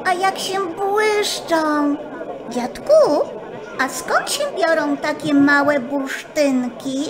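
Eager little kid girl in a radio play, super high voice, music and crowd behind. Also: extremely slow. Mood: eager wonder. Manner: eager, wondering, childlike chatter. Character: curious little granddaughter girl at a fair. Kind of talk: children's radio play acting.

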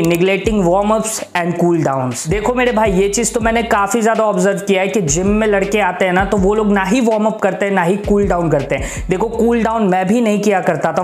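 High-voiced young man, echoey room. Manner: earnest, brotherly, repeats "mere bhai" and fillers. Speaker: fitness YouTuber. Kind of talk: casual advice vlog.

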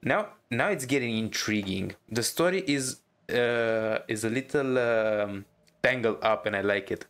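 A man giving casual reaction commentary as a gaming streamer, musing and halting as he goes. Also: mid-range voice, a bit bright, clear, resonant tone.

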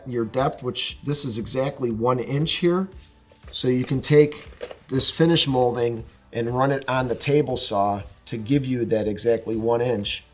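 A man in his forties talks through a panel installation in a large workshop, in plain, practical shop language, sometimes restarting a phrase. His pitch sits in an ordinary middle range, picked up on a clip-on mic. Faint music plays quietly underneath. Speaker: installation instructor. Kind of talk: hands-on how-to demonstration. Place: large indoor workshop with wood mock-up walls.

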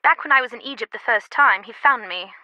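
A woman's voice: on the high side, fairly quick, bright, forceful tone, no room sound, low-quality recording. A woman playing a worldly, wry antiquities expert in an adventure game, talking in a conversational, confiding way. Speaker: video game voice actor. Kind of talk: scripted adventure game dialogue.

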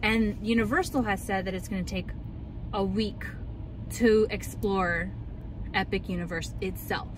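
A woman's voice: medium pitch, unhurried, noisy background. A young woman vlogger chatting and ranting casually in a car, full of likes and ums. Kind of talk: casual chat.

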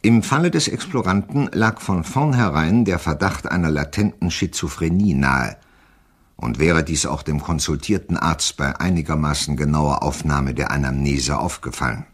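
An actor playing a pedantic psychiatrist who reads a formal clinical opinion in an audio drama, with a deep, husky voice. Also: male voice, normal speed. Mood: clinical, self-assured. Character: pedantic male psychiatrist delivering a learned expert opinion. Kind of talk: dramatic reading of a medical report in an audio drama.

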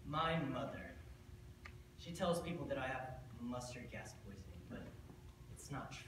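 A young man playing a bitter, drunken, brooding self-styled monster in a theatrical monologue, speaking deliberately with dramatic pauses. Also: mid-range voice, expressive, lightly acted. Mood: brooding, wry. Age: teen or young adult. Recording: distant, noisy, very echoey school stage.

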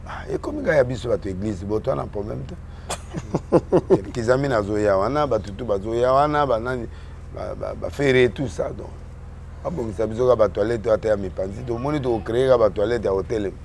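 Homme originaire du Congo qui parle en mélangeant le français et le lingala, sur un ton emphatique, en répétant ses mots dans une discussion politique. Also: male voice, lowish voice, a little fast, vocal fry, a little mellow, a little hiss or hum. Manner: mixes French and Lingala, emphatic, repeats words. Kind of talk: animated political chat.

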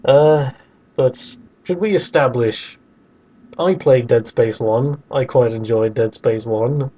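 A man talks as a podcast host in a casual, opinionated discussion. His voice is mid-pitched and mellow, and his delivery is animated. The recording is a little dull and lo-fi. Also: slow.

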